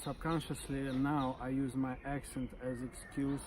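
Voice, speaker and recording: A man in his forties with a Russian accent talks through his stutter, blocking on sounds and repeating them in casual conversation. His voice sits at an ordinary middle pitch. The recording is noisy, taken outdoors with birds calling behind him. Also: little pitch movement, normal speed. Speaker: interview guest.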